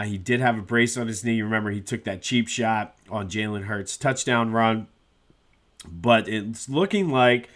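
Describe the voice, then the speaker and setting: A man's voice: mid-range voice, a bit bright. A man hosting a solo sports podcast, a bit congested and nasal from a cold, talking casually with lots of "uh" fillers. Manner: conversational, with frequent "uh" fillers.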